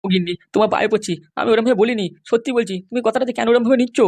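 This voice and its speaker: A woman's voice: a little high, very fast, a little mellow, no room sound. A woman voicing a meek, henpecked, ailing husband in a comedy cartoon, pleading and whining with his wife. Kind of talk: amateur comedy cartoon dialogue.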